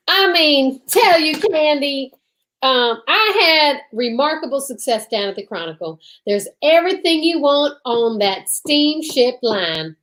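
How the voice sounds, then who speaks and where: A florid, flattering bohemian of old-time radio, acted by a woman. The voice sits high and airy, with theatrical, ornate phrasing. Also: takes it slowly, full character voice. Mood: effusive, airy.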